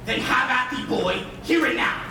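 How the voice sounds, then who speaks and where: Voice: medium pitch, animated, moderate pace, close to an everyday voice. Sly theatrical pirate villain, acted on stage, distant and echoing. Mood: commanding. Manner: mocking, grandiose, sneering delivery. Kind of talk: live stage play acting. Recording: echoey theatre, distant mics, noisy room.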